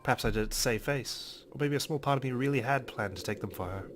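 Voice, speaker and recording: A man's voice: medium pitch, fast, music in the background. A man reading visual novel text aloud as a let's player, with occasional brief commentary.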